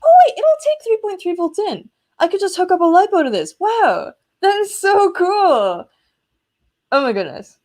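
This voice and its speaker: A woman's voice: very high voice, very animated, moderate pace, darkish tone. A woman hosting a casual live electronics demo, chatty and enthusiastic as she explains hardware. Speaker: host of an electronics prototyping livestream.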